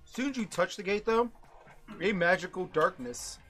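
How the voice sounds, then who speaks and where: Male game master on a stream, bright and clear, soft music behind. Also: sits high, moderate pace. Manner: descriptive, relaxed, checks in with players. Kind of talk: narrating a tabletop game on stream.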